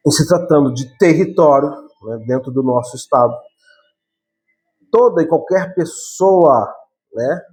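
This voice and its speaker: A man's voice: mid-range voice, dark tone, low-quality recording. A Brazilian man explaining law in a conversational lecture, often adding tag questions and correcting himself as he goes. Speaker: law teacher.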